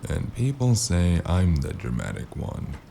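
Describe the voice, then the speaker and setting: A weary noir investigator in a cyberpunk audio drama. The voice is extremely low and gravelly, with a dark, mellow tone. Lines come dry and sardonic, clipped into short phrases, over faint noise. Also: male voice, huge pitch swings, a little slow, exaggerated voice. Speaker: lead actor and narrator.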